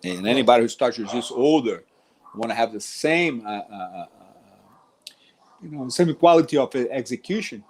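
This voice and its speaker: A man's voice: mid-range voice, expressive, brisk, a little mellow. A middle-aged man from Brazil with a Brazilian Portuguese accent, a jiu-jitsu instructor talking casually about teaching, rambling with many restarts. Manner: rambling, restarts often, says "man" a lot.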